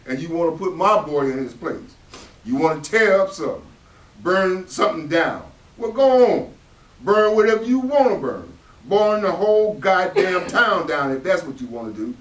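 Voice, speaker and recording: A man's voice: high-pitched, very animated, moderate pace, a bit bright. A man with a Southern American accent playing an embittered, protective father in a stage reading, in emphatic folksy speech. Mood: defiant, angry. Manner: emphatic, folksy vernacular speech with dramatic swells. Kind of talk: stage readers theatre acting. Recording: echoey room, distant stage microphone.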